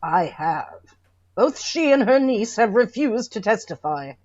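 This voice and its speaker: A woman's voice: mid-range voice, sing-song, unhurried, a little mellow, no echo. A woman playing a formal, insistent coroner in a radio drama, on slightly dull audio. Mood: stern. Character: formal, persistent coroner questioning witnesses.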